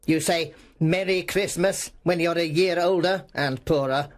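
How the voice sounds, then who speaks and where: A man's voice: on the high side, unhurried, pitched above the performer's own voice, close to an everyday voice. A man voicing a mean, cold old miser in a storybook, with a hard voice and a curt, dismissive manner. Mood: irritated.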